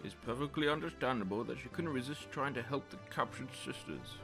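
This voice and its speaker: A man's voice: medium pitch, brisk, creaky, over music, natural voice. A man playing a kindly, deferential retainer who speaks formally and politely in a radio drama. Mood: gentle, soothing. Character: courteous, kindly male retainer, gentle and deferential. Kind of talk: fan radio drama acting.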